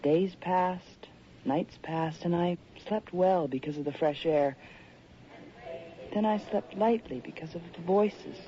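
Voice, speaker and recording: A woman's voice: fairly low pitch, takes it slowly, mellow. An actress in a radio drama playing a moody, reflective woman telling her own story, on a dull, hissy recording. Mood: brooding, reflective. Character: moody, reflective city woman narrating her strange tale. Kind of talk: first-person radio drama narration.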